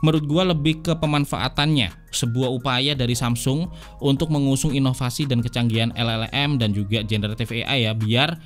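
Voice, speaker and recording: A man's voice: medium pitch, fairly even intonation, fairly quick. A man with a Jakarta Indonesian accent narrating a tech review in a casual, conversational way, explaining things to viewers as friends. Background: background music.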